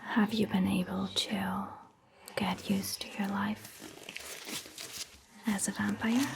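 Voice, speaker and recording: Very slow, whispering woman, gently reassuring. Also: mid-range voice, level tone, noisy background. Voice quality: breathy, mostly whispered voice. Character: caring vampire doctor, calm and attentive woman. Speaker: ASMR performer playing a vampire doctor. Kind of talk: soft-spoken whispered ASMR roleplay.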